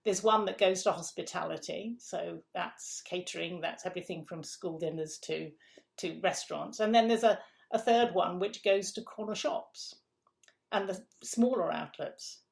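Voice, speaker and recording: Gravelly-voiced woman explaining food supply. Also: fairly low pitch, normal speed. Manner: explains figures step by step, repeats words, emphatic. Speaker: food council guest. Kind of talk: conversational interview explanation.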